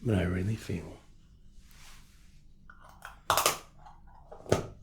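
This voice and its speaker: A man softly explaining spa treatments in an ASMR roleplay, whispery and breathy, with a gentle, reassuring, attentive manner. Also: deep voice, fairly even intonation, extremely slow, very noisy. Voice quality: whispery, breathy, soft-spoken.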